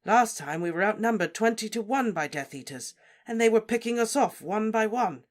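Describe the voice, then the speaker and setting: A woman voicing a calm grown wizard who speaks firmly and soothingly. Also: mid-range voice, moderate pace, pitched above the performer's own voice, natural voice. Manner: firm and soothing.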